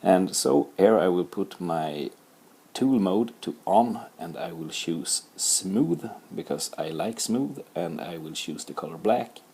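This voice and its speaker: A man's voice: low voice, lively intonation, slow, mellow, breathy edge. A man with a Swedish accent narrating a drawing tutorial, talking through each step plainly with some fillers and self-deprecating remarks. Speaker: art tutor.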